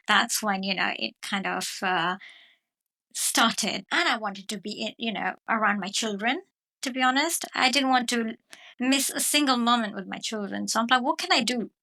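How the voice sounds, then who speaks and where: A woman's voice: medium pitch, sharp tone, poor audio. A middle-aged woman from Mayotte telling her own story conversationally as an interview guest. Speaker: podcast guest, dance teacher.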